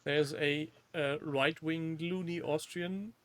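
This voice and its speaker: A man's voice: a little high, steady tone, slow. Chatty man, laughing often. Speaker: co-host. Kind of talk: casual chat.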